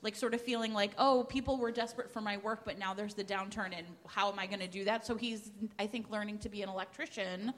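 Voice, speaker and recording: A woman podcast co-host casually recommending books at a live event, rambling and excitable as she thinks aloud. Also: medium pitch, fast talker, hardly any pauses. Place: large room with a live audience, indoors. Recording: echoey hall with long reverb.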